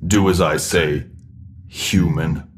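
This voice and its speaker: Deep, commanding non-human guard. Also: male voice, fairly even intonation, takes it slowly, slight background noise. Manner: curt, commanding, speaks in short orders. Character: gruff, commanding non-human male guard who can smell. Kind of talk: sci-fi audio drama acting.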